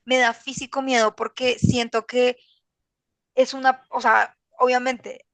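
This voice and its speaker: A woman's voice: fairly high pitch, little pitch movement, a little slow, a bit bright, no room sound. A woman with a Colombian accent talking casually as a podcast guest, candid, slangy and rambling. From Bogotá, Colombia. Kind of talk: casual personal conversation.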